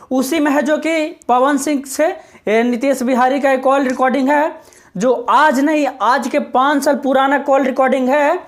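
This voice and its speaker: A woman giving informal social media news commentary, explaining insistently and repeating her points. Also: sits high.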